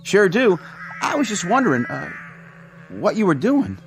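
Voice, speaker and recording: A man's voice: high-pitched, very animated, normal speed, gravelly, a little hiss or hum, heavily acted. A man playing a folksy, nervously agreeable small-town grocery man in a radio drama, hesitant and over-cheery. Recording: music under the voice.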